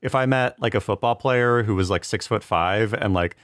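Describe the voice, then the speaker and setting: A man's voice: fairly low pitch, moderate pace, a bit bright, no echo, studio quality. A man who is a TV comedy writer, chatting casually as a podcast guest, rambling and self-deprecating, often laughing.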